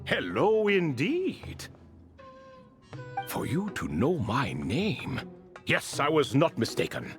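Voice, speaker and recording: A man's voice: fairly high pitch, huge pitch swings, takes it slowly, pauses often, faint music behind, exaggerated voice. A man playing a proud, talkative, courtly ancient cat in a video game, speaking in a formal, pompous way. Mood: gracious. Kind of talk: video game character acting.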